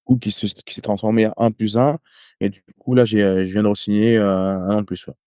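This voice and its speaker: A French man in his thirties, a rugby player guest, telling stories in casual podcast talk, halting with many hesitations and restarts. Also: lowish voice, little pitch movement, mellow, clean tone, no echo, clean studio sound. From France. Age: 30s. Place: home in Ireland.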